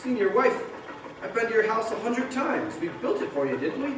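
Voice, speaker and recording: A man's voice: high voice, very animated, fairly quick. A man acting a frantic, bewildered family man in a stage radio play, agitated and pleading. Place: live stage in a large echoing hall. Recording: echoey hall from far off, noisy.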